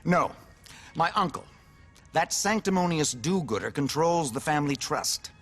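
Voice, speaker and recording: An actor playing a smug, cynical, needy politician in a video game, with a pompous, glib and effusive manner. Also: male voice, on the high side, lively intonation, moderate pace, fairly bright tone, soft background music. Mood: smug, scheming. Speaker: politician character. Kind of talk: video game cutscene acting.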